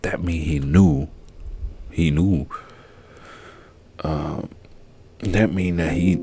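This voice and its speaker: A man's voice: very deep voice, creaky, mellow, husky. A man whose first language is Haitian Creole, giving casual, profane, rambling commentary. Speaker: vlogger.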